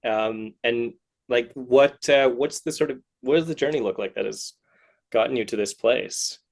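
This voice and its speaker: A man hosting a podcast in a casual, halting way, often searching for words as he puts his questions. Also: mid-range voice, vocal fry. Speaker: podcast host, interviewer. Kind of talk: casual interview chat.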